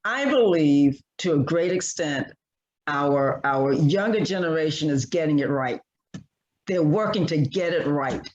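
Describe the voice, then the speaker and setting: A man speaking as a panelist in an online discussion, earnest and repetitive, halting with many restarts. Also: on the high side, animated, highs cut off.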